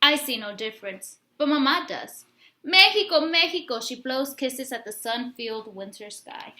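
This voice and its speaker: A woman with a high, bright voice reads a children's story aloud in a roomy, echoey space. She is expressive and instructive, speaking straight to her young listeners as a teacher does. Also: moderate pace. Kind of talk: picture-book read-aloud with questions to young listeners. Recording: roomy, echoey room sound.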